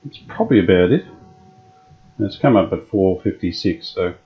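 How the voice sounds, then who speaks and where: Husky low-voiced man tinkering. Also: expressive, lo-fi sound. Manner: thinking aloud, halting with many ums and uhs. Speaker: radio restorer. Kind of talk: hands-on workshop demonstration.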